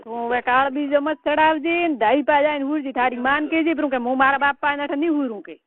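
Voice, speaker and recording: A woman's voice: sits high, fairly even intonation, quick pace. Tinny-phone Marwari woman, scolding. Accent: rural Rajasthani Marwari. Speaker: caller, relative giving advice. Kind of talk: private phone conversation. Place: recorded telephone call. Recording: tinny phone line, noisy call recording.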